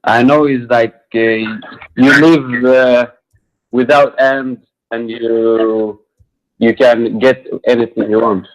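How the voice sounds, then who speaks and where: A man speaking as a guest on a live stream. He answers in a halting, unsure way and fills his gaps with fillers. His delivery is unhurried and drawn out. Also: medium pitch, fairly even intonation, poor audio. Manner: hesitant, halting, with many fillers. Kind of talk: casual chat.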